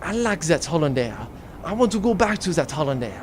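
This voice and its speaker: A man's voice: a little high, very animated, a little fast, a little hiss or hum. A man playing a vain, flamboyant, roguish spaceship crewman in a comedic audio drama. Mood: indignant, dramatic.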